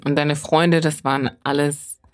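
A woman's voice: deep voice, little pitch movement, a little slow. A woman hosting a podcast, introducing her guest and asking interview questions in a conversational way. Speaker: podcast host.

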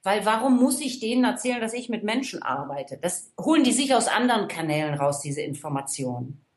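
A woman's voice: mid-range voice, big pitch swings, fairly quick. A woman from the Rhineland, a trainer and coach, explaining her views emphatically and checking in with the listener. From Rhineland, Germany. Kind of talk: conversational expert talk. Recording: echoey room.

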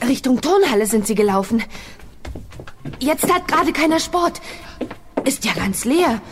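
A woman's voice: high voice, animated, a little slow, noisy background. An actress playing a plucky young girl witch in a children's audio drama. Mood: uneasy, musing.

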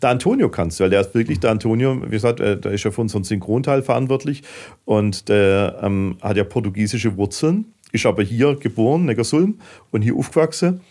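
A man's voice: fairly low pitch, fairly quick. A man from southern Germany with a Swabian accent, talking casually as a podcast guest in a fidgety, storytelling way. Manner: fidgety, animated storyteller with dialect.

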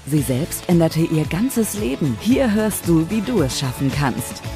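A scripted promo announcer delivers punchy, slogan-like lines in a lively, animated voice that has a warm, mellow tone. Soft music plays behind. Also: medium pitch. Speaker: intro announcer.

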